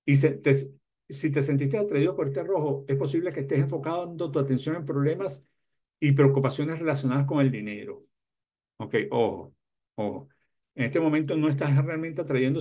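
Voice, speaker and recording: A man's voice: medium pitch, darkish tone. A man in his sixties giving motivational advice to camera as a self-help host, emphatic and repeating key words. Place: small indoor room lined with bookshelves.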